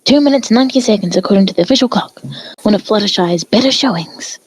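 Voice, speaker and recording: Woman as a chatty husky pony sportscaster. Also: medium pitch, fast, natural voice, rough recording. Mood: breezy, announcing. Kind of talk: mock sports commentary.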